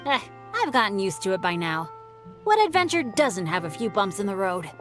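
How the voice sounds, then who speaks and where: A woman's voice: mid-range voice, sing-song, moderate pace. An upbeat teenage boy adventurer. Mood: cheerful. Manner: cheerful, modest, easygoing. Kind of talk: video game voice acting. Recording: game audio with soft music behind.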